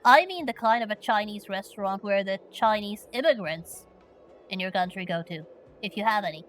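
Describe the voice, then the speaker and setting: A woman's voice: medium pitch, expressive, moderate pace, faint music behind. A woman from Finland streaming a story game, chatting playfully and reading questions aloud.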